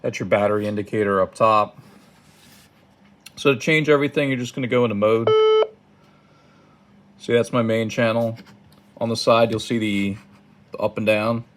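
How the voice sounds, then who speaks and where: A man giving a casual step-by-step how-to, halting and thinking aloud, recorded indoors at a tabletop. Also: on the low side, steady tone, fairly bright tone. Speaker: product tutorial presenter. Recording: clearly noisy home recording, button clicks.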